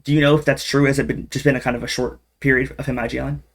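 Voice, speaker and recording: Man, interviewer, esports, casual, upbeat, quick talker. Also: medium pitch, level tone, fairly bright tone.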